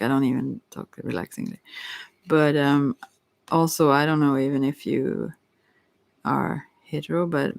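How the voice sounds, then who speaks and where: Halting, creaky-voiced tarot reader, very close and dry. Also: medium pitch, no room sound. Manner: hesitant, halting, apologetic, with frequent self-interruptions. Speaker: tarot card reader, ASMR host. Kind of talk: rambling ASMR tarot reading.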